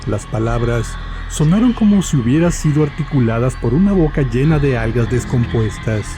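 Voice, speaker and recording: A man narrates a scripted horror tale in a mellow, dark voice of medium pitch. Faint music runs underneath his narration. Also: animated, moderate pace.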